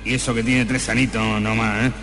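A man's voice: medium pitch, flat delivery, fairly quick, gravelly, a bit bright, faint music behind. A man with an Argentine accent playing a callous, haughty colonial slave owner in a comedic radio play, in long reverb. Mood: smugly cruel. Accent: Argentine Rioplatense.

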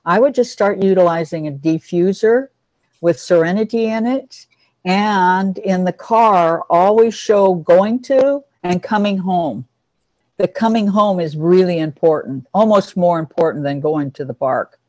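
A woman's voice: lowish voice, measured pace, darkish tone, no echo, low-quality recording. A woman teaching an informal online class about pets, talking in a reassuring, instructive way to the group. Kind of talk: casual group video-call class.

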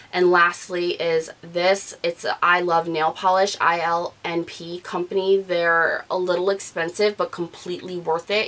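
A woman in her thirties talking casually about her favorite beauty products, gushing and animated, recorded indoors on a studio mic. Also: on the low side. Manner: chatty, gushing, animated with hand gestures. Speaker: beauty vlogger. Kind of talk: casual product review talk. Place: living room, gray couch, indoors. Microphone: studio mic off camera.

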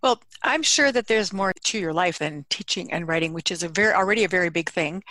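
A woman's voice: on the low side, quick pace, sharp tone, no room sound. Podcast host woman, dry close sound. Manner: admiring, conversational. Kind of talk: podcast interview hosting.

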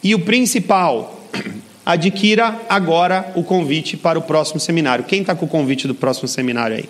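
A middle-aged Brazilian man with a notably high voice works a seminar crowd from the stage of a large hall. He talks with energy and push, throwing questions straight at the listeners. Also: moderate pace. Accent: Brazilian Portuguese. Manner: energetic, persuasive, addresses audience directly. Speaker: seminar speaker. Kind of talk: motivational seminar talk.

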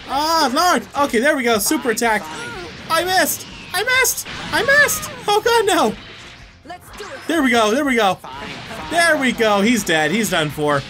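Guy doing lets play commentary, rambly and sweary, repeats himself, game music behind him. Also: high-pitched. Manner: profane, rambling, often repeats words and exclaims. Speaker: gaming video creator and player. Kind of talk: casual live gameplay commentary.

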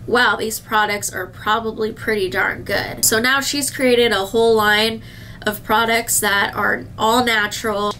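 A woman's voice: medium pitch, pushed and bright, a little hiss or hum, rough recording. A woman in her thirties, a licensed esthetician, chatting to camera in a skincare review, a little nasal from a cold and speaking directly to her viewers. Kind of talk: casual product review to camera. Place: indoor skincare treatment room.

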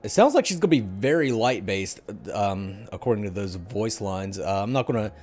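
A man's voice: on the low side, lively intonation, a little fast, darkish tone. A middle-aged man hosting a casual video game talk show on a headset mic. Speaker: podcast host. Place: indoor room at home.

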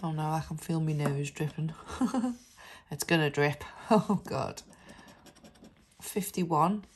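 Congested woman slowly reading scratch cards. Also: deep voice, a little hiss or hum. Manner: slow, chatty, reading out numbers and letters. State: congested with a cold. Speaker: scratch card vlogger. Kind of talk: casual narration over scratch card video.

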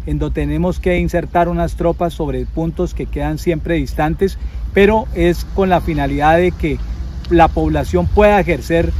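An army general makes a formal statement, pausing now and then with fillers. His voice is slightly high. Clear outdoor noise runs behind him. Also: fairly even intonation, a little mellow. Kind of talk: interview statement.

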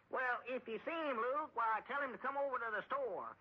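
An actor playing a muddled, gullible old storekeeper with a rural Southern American accent, in a folksy, rambling radio comedy style. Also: male voice, extremely high pitch, a little fast, husky. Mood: flustered. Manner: rambling, folksy, easily confused. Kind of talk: 1930s-40s radio comedy acting. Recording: dull old radio recording.

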